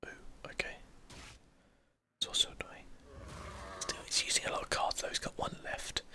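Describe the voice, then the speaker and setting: A gaming host whispering casual ASMR commentary in a soft breathy voice, self-deprecating and lightly sweary. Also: moderate pace.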